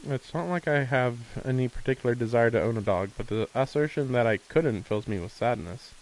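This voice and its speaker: A man's voice: medium pitch, a little fast, darkish tone, low-quality recording. A man streaming a visual novel, reading the text aloud and mixing in casual commentary, with faint noise behind him.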